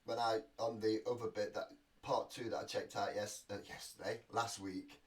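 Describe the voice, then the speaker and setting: A man's voice: on the low side, level tone, normal speed. Sniffly man rambling at home. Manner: rambling, self-deprecating asides. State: slightly sniffly with a cold. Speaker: reaction video host. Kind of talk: casual chatty commentary. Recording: distant mic with faint noise.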